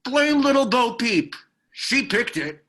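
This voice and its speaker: A man's voice: very high voice, big pitch swings, slow pace, bright, forceful tone, full character voice. A man playing a cocky, teasing comrade calling in by hand radio in a screenplay table read, breezy and clipped.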